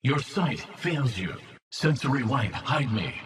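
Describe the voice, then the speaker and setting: An actor playing a bold, mystical fantasy champion calling out battle lines in a video game. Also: male voice, mid-range voice, expressive, unhurried, fairly bright tone. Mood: brisk.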